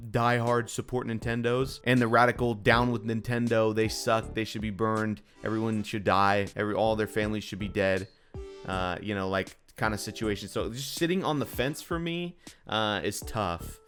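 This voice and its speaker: Low-voiced man in an exasperated gaming rant. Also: steady tone, normal speed, fairly bright tone, faint music behind. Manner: exasperated, incredulous, slangy and emphatic. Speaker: gaming video creator. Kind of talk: casual unscripted rant commentary on gaming news.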